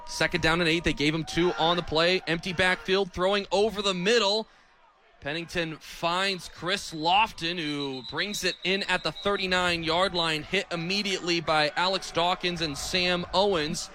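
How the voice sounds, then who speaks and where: Bright, high-pitched young American play-by-play man. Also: fairly even intonation, normal speed. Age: 20s. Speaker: radio play-by-play announcer. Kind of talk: live American football radio commentary.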